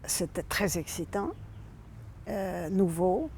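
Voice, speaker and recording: A slow, unhurried woman from Rome with a gravelly, creaky edge to her voice. She is a native Italian speaker and a novelist, reflecting thoughtfully on her writing. She speaks in a measured way, pausing on frequent hesitant fillers. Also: on the low side, fairly even intonation, darkish tone, slight background noise. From Italy (Rome). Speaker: novelist presenting her book. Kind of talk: reflective spoken book presentation.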